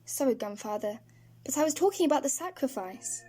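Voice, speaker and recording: A woman's voice: sits high, lively intonation, fairly quick, warm tone, faint music behind. A woman playing an earnest, questioning teenage granddaughter in a fan audio drama. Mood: concerned, curious.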